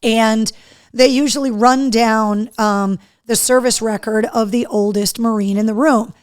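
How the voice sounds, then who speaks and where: Hoarse chatty woman host. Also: a little high. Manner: chatty, talks to her dog between remarks. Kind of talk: casual live show chat.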